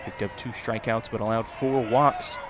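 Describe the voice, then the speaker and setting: A man's voice: lowish voice, fairly even intonation, moderate pace. A man giving live play-by-play commentary as a baseball radio broadcaster. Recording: clear background noise. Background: outdoor ballpark ambience.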